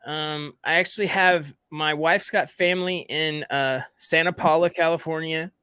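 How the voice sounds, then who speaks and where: A man's voice: fairly high pitch, fairly even intonation, normal speed, bright, forceful tone, clean tone, no room sound. A man from the American South, hosting a casual livestream podcast chat, rambling and repeating himself as he talks to his viewers. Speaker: podcast host.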